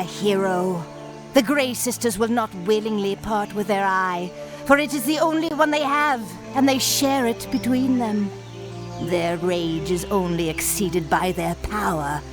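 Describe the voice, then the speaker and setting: Slow-spoken, imperious sorceress. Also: female voice, mid-range voice. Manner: imperious, theatrical, measured. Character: haughty, ominous sorceress of an ancient wood. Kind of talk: video game cutscene acting.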